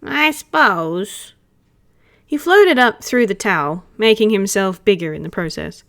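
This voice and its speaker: A woman's voice: medium pitch, very animated, moderate pace, dry recording, clean studio sound. A woman narrating a roleplay story read aloud in the third person. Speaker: roleplay reader.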